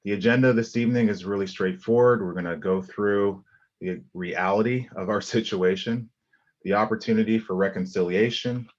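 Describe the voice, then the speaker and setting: Man, very deep voice, earnest lecture pace, many ums, muffled lo-fi recording. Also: fairly even intonation, moderate pace. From Liberia. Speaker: guest lecturer, landscape architect. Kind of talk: online lecture with slides.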